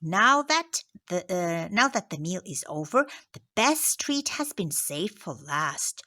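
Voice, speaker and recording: A woman teacher reading a picture book aloud to children, expressive and dropping into soft whispers on headings and asides. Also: lowish voice, takes it slowly. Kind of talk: children's story read-aloud.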